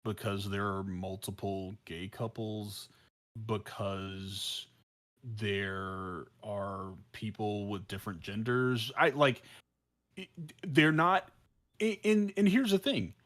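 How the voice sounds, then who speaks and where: Slow, halting man. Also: on the low side, fairly even intonation, a bit bright. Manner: halting, repeats words, emphatic, informal. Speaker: podcast panelist. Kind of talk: casual podcast discussion.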